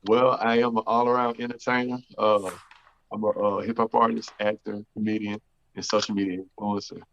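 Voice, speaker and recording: A man's voice: fairly low pitch, flat delivery, a little fast, a bit bright. A man from Houston introducing himself as an entertainer, upbeat, often saying "you know what I'm saying". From Houston, Texas. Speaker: guest entertainer. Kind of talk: casual interview chat. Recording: dull lo-fi sound.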